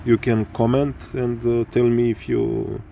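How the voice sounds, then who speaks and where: Romanian guy explaining bike repair in english, really slow, lots of uhs, bit of motor noise behind. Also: medium pitch, level tone, creaky, dark tone. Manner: halting, many fillers, searching for words. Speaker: motorcycle tutorial maker. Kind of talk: hesitant spoken tutorial.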